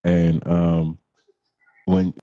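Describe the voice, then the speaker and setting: A man's voice: completely flat delivery, very slow. A man hosting a casual livestream, talking bluntly in slang and repeating words for emphasis. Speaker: host of a livestream show. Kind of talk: casual live talk with commentary. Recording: muffled, boomy roomy livestream audio.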